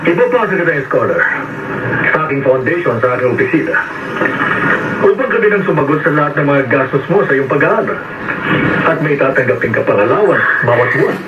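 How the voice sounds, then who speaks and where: A man's voice: on the high side, expressive, a little fast, distant mic, close to an everyday voice. A man acting a benevolent, earnest businessman in a radio drama, formal and solemn, with a Filipino accent. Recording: very noisy, echoey, dull radio recording.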